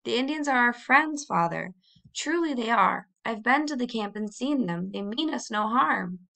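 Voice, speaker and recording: A woman's voice: mid-range voice, unhurried, a bit bright. A woman voicing a frightened but brave young pioneer girl in a storybook, pleading earnestly and urgently.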